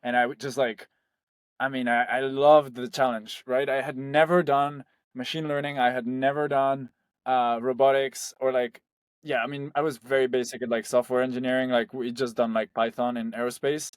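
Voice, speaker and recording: A man's voice: mid-range voice, little pitch movement, normal speed, smooth, dry recording, clean studio sound. A young man from Spain, a startup founder, talking loosely as an interview guest and sprinkling his speech with "like" and "um". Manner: loose and conversational, heavy on "like" and "um". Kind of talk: casual interview.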